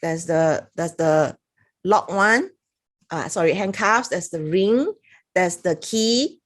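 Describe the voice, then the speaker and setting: A woman's voice: lowish voice, takes it slowly. A woman with a Singaporean accent giving a chatty, casual review to camera, reading passages aloud with little laughs. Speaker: product reviewer.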